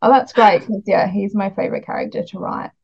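A woman's voice: medium pitch, brisk, gravelly, a little mellow, husky, lo-fi sound. A woman hosting a casual book podcast in a chatty, informal way. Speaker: podcast host and children's author.

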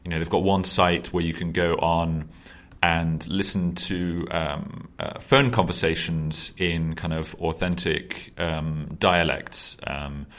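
A man's voice: low-pitched, fairly even intonation, takes it slowly, fairly bright tone. A man hosting a casual conversation, talking loosely with lots of ums and hesitations. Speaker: podcast host.

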